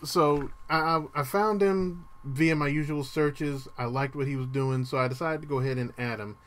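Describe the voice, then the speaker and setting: A man hosting a music show with a dry voice, talking casually through the playlist with frequent ums and the odd throat clearing. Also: on the high side, fairly even intonation, moderate pace, a bit bright. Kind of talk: casual radio-style show talk.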